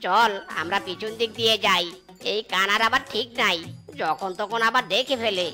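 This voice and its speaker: A woman's voice: mid-range voice, moderate pace, sharp tone, lightly acted. Rude sneering thief guy for a cartoon, a woman voicing him, music going behind. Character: crude mocking male thief.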